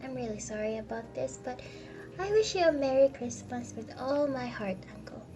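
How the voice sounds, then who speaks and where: Lady doing a kind cheery nephew in a storybook, earnest, high voice, music underneath. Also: lively intonation, normal speed. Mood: earnest, pleading. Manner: earnest, pleading, bright-spirited.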